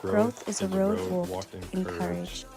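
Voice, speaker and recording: A woman narrating a spoken-word poem in a solemn, measured way. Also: fairly low pitch, level tone, gravelly. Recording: voice-over over loud music.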